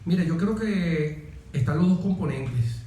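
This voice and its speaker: A man's voice: a little high, lively intonation, measured pace, warm tone, slight background noise. A middle-aged Venezuelan man, a military officer, speaking as an interview guest and telling personal stories emphatically, often repeating phrases. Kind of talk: conversational personal storytelling. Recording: very echoey room.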